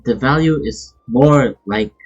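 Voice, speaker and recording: A very husky man with a Filipino accent chats casually over a game stream. He reacts freely and switches languages, on slightly dull audio with some music behind. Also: mid-range voice, slow. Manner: chatty, reactive, code-switching. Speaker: game livestreamer. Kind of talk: casual live gameplay commentary.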